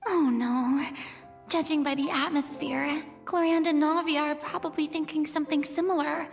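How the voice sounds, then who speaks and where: An actress playing a theatrical, inquisitive young woman in a video game, musing in an expressive way. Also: sits high, normal speed, creaky, faint music behind. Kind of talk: scripted video game dialogue.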